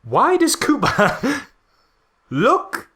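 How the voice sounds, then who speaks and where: Bright-voiced, animated man chatting. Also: extremely high pitch, drawn-out words. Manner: exasperated, sarcastic. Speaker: podcast co-host. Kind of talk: casual film critique chat.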